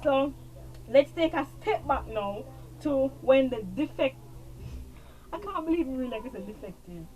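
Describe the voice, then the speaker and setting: A woman with a Jamaican accent talks through a series recap in loose, rambling chat. Her voice sits a little high, and she circles back over the same points. A faint hiss of noise sits under her voice. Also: lively intonation, moderate pace, long pauses, warm tone, muffled recording. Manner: rambling, repeats herself, trails off with "blah, blah". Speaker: TV show reviewer. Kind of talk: casual show recap.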